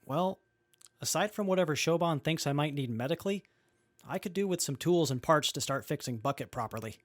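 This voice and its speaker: Guy as a mild hurt tinkerer, bit high voiced, very dry sound, audio drama. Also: expressive, a little fast, no echo, close to an everyday voice. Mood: mild, hesitant. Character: mild, injured male tinkerer.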